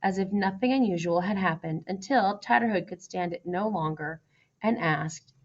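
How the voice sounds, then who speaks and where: A woman's voice: on the low side, normal speed, muffled recording. A woman reading a children's story aloud as its narrator. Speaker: storybook reader.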